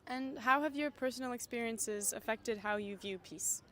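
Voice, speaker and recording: A woman presenting a festival report and asking interview questions in a clear, measured way. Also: on the high side, bright, forceful tone, a little hiss or hum. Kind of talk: scripted intro and interview questions. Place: outdoor festival square.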